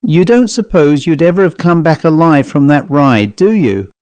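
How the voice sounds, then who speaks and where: A man's voice: on the high side, animated, moderate pace, a little mellow, dry recording, voice pushed up, close to an everyday voice. A man voicing a self-possessed Cockney manservant with a controlled, wistfully reproachful manner in an audiobook story. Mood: composed, earnest.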